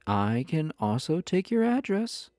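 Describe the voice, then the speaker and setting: An actor voicing a flirty, possessive husband in an intimate roleplay audio, teasing the listener with coy, mock-innocent pick-up talk. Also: male voice, a little high, sing-song, measured pace, darkish tone, dry recording, studio quality. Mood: coaxing, flirty.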